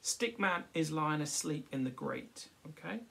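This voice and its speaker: A man in his thirties or forties reading a picture book aloud to children, pausing to explain it simply. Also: fairly high pitch, animated, gravelly, sharp tone. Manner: frequently checks with "okay", explanatory. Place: small furnished room at home. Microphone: device camera at about arm's length.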